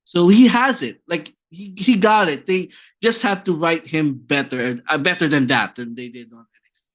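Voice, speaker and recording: Filipino man making his case, slightly high. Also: moderate pace, a bit bright. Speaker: podcast co-host. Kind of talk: casual chat mixing English and Tagalog.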